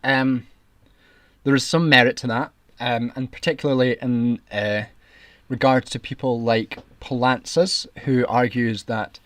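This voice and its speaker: A man's voice: medium pitch, fairly even intonation, unhurried, a bit bright, dry recording. Indignant Scottish man ranting on stream. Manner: indignant, sarcastic, argumentative. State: voice getting raspy. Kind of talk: ranting livestream commentary.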